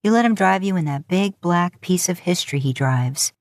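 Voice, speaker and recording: Low-voiced woman narrating a romance story. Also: lively intonation, moderate pace, no echo, clean studio sound. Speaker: podfic narrator. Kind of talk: scripted second-person romantic story narration.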